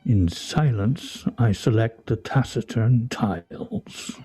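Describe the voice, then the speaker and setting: Radio comedy: a pompous, grumbling pedant husband, declamatory, very mellow tone, music underneath. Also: male voice, mid-range voice, animated, takes it slowly, breathy edge, heavily acted. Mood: pompous, aggrieved. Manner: pompous, declamatory, fussily articulate. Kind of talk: comedic radio play acting.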